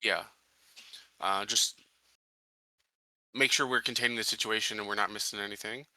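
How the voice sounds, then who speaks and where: A man playing a tabletop roleplaying game, describing his moves casually in a tentative, halting way. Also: on the low side, fairly even intonation, sharp tone, dry recording, rough recording. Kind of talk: casual spoken game turns.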